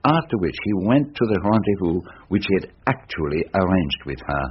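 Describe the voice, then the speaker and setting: An actor playing a shrewd, fatherly, self-assured detective in a radio drama, speaking in a measured, courteous and theatrical way. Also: low-pitched, big pitch swings, husky, exaggerated voice. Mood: explaining. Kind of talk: radio drama acting, explanatory monologue. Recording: dull lo-fi radio sound, noisy.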